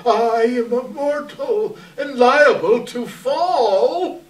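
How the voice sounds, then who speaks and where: A woman playing a sentimental, astonished old man with drawn-out theatrical exclamations, recorded from far off in an echoey hall. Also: a little high. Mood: pleading.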